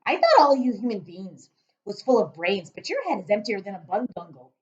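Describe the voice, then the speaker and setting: Storybook giant, played by a woman: huge, boastful male, loud and booming, raised lively pitch, muffled recording. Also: brisk, lightly acted. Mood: loud, indignant. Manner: emphatic, shouting, mangled grammar and invented words. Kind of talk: children's storybook read-aloud.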